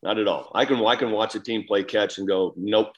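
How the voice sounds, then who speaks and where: A man's voice: lowish voice, fairly quick, lo-fi sound. A man co-hosting a sports podcast, giving blunt, opinionated takes in casual chat. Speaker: podcast co-host, former player.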